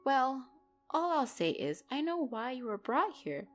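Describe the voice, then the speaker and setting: A commanding female mafia boss, acted by a woman, speaks over music with a very lively, swinging pitch. She is possessive and icily playful. Her controlled, condescending delivery wraps threats in mock sweetness, in the style of a dramatic roleplay audio. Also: unhurried. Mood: possessive, sly.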